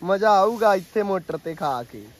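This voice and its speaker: Chatty young man, high voice. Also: lively intonation, normal speed, a little hiss or hum. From Punjab. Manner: chatty, complaining humor. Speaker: vlogger. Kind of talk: casual chat and greeting to viewers. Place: outdoors at a farm tubewell among rice fields.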